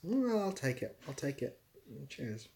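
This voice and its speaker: A man's voice: on the high side, sing-song, a little slow, darkish tone. Laid-back man chatting on a livestream. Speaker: live streamer answering chat questions. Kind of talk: casual chat, reading out and answering viewer questions.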